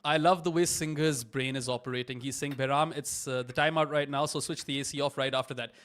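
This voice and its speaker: Young guy, booming voice, hosting a live cricket watchalong, excitable play-by-play. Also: fast. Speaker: watchalong host.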